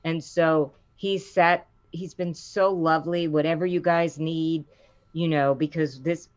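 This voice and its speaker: A middle-aged woman with a low voice, a voice actor chatting in an interview and acting out the quotes in her stories. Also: measured pace, muffled recording. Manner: animated storyteller, acts out quotes with rising pitch. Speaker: voice actor guest. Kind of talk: casual interview chat.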